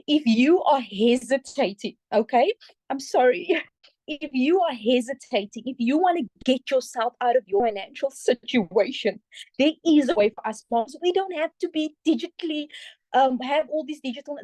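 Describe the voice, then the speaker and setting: A woman's voice: sits high, darkish tone, no echo, poor audio. A woman with a South African accent giving an earnest, emphatic motivational testimonial, often checking in with her listeners. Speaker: community member giving a testimonial.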